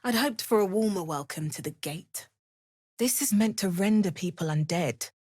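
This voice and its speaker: A woman's voice: mid-range voice, animated, normal speed, darkish tone, breathy edge, no echo. An actress playing a wry, battle-hardened young female adventurer in a fantasy video game, delivering dry asides. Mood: matter-of-fact. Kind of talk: video game character voice lines.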